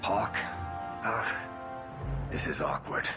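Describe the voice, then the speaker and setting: A man's voice: on the low side, slow, music in the background. A man voicing a wry, loyal dwarf rogue and storyteller in a video game cutscene, with a dry, sardonic manner. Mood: wry, musing.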